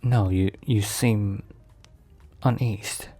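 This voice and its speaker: A man acts out an overprotective mafia boss in an ASMR boyfriend roleplay. His voice sits on the low side, with formal, careful phrasing and halting pauses as he attends to his partner. Music plays behind him. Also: fairly even intonation, warm tone. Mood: concerned, gentle.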